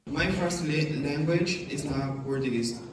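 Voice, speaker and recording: A student from Angola whose first language is Kimbundu, speaking haltingly. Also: male voice, on the high side. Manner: halting, restarts words. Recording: distant, echoey, noisy room.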